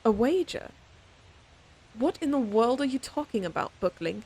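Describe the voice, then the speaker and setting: Woman playing a proud, high-voiced, exasperated lady knight. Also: sing-song, normal speed, darkish tone, husky, heavily acted. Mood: defensive, haughty. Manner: stern, scolding, exasperated. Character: proud, exasperated young lady knight, secretly fond, tsundere. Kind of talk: audio roleplay acting, speaking to the listener.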